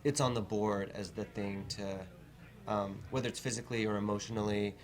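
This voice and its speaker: A host running a casual interview in a relaxed way, with frequent "yeah" and "I love it". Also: low-pitched, fairly quick.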